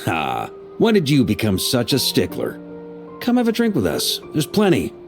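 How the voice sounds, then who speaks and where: An actor playing an easygoing, dependable knight grandmaster in an English-dubbed fantasy game, with a relaxed, wry and jovial manner. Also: male voice, mid-range voice, moderate pace, vocal fry. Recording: music under the dialogue. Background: game music.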